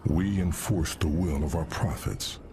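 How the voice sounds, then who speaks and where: An actor playing a weary, guilt-haunted alien commander, a veteran of holy war, narrating in a solemn, brooding, deliberate way with a gravelly voice. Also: male voice, deep voice, a little hiss or hum. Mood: solemn, reflective. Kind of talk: solemn first-person dramatic narration. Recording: loud music behind the voice. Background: loud cinematic music.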